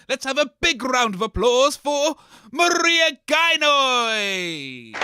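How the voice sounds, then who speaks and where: A man's voice: very high voice, bright, forceful tone, no echo, studio quality. A man co-hosting a comedy radio show with showy game show announcer patter.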